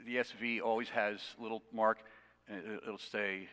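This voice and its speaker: A man's voice: on the low side, little pitch movement, normal speed, pushed and bright. A man preaching an expository sermon to his congregation, conversational with self-deprecating asides. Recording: long reverb of a hall.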